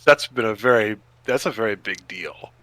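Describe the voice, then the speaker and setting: A man's voice: lowish voice, normal speed, fairly bright tone, no echo. A man co-hosting a podcast in casual chat, reacting and interjecting with short exclamations. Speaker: podcast co-host.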